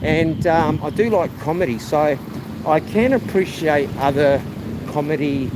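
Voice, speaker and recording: A man live-streaming as he walks, chatting loosely to viewers in a loud, projecting voice. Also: on the high side, unhurried. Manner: chatty, rambling, addresses viewers as guys. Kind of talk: casual walking live stream. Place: outdoors on a busy rural roadside. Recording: outdoor stream with loud traffic noise. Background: loud traffic and motorbike noise.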